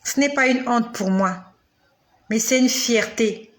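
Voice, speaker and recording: A woman in her forties preaching directly to the listener. Her voice sits a little high, and she speaks slowly and emphatically. She leans on repetition and dramatic pauses to drive each point home. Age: 40s. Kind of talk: sermon addressed to the listener.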